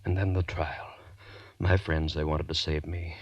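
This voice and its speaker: An actor playing a tormented, desperate murderer and lecturer in intense, melodramatic 1940s radio horror style, with dramatic pauses. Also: male voice, rumbling low, level tone, husky, no room sound, muffled recording, exaggerated voice. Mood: haunted, resolute. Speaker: narrator and lead actor.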